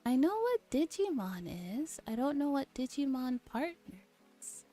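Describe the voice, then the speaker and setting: A woman streamer gently reading a visual novel aloud in a soft ASMR style, with relaxed casual asides. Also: high-pitched, unhurried. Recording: music behind the voice.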